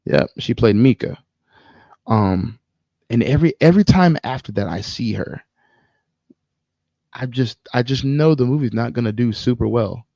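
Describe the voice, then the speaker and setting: A man's voice: medium pitch, lively intonation, normal speed, creaky, long pauses, dark tone, dry recording, highs cut off. A man co-hosting a casual podcast chat about movies, speaking frankly in informal slang with some swearing. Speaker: podcast co-host.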